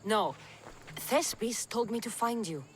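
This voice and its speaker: An actress playing a bold, determined mercenary in a video game cutscene, with a firm, direct manner. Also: female voice, on the high side, animated, unhurried, a little hiss or hum. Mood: insistent. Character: bold, commanding, determined female mercenary adventurer.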